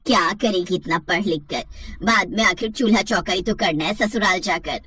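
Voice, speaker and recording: A woman's voice: on the low side, normal speed, pushed and bright, breathy edge, no room sound, studio quality, pitched below the performer's own voice. A woman voicing a mean, scheming middle-aged stepmother in a cartoon moral story, bossy and contemptuous. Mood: scornful. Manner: scornful, bossy.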